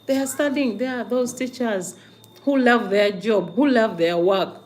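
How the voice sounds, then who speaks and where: A woman's voice: on the high side, measured pace, a little mellow. A woman from Uganda with a Ugandan accent hosts a casual livestream, talking to viewers emphatically, with repeated phrases, rhetorical questions and questioning hums. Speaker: livestream host.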